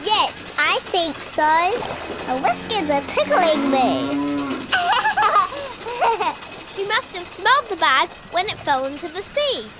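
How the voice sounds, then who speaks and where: A woman's voice: very high-pitched, noisy background, heavily acted. A woman playing a plucky, earnest little girl in an adventure radio play, wide-eyed and exclamatory.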